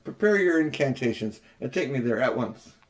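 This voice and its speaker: A man's voice: medium pitch, animated, normal speed, lightly acted. A man reading aloud, voicing a haughty, cruel queen in an audiobook with an imperious, cold, disdainful manner. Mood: scornful.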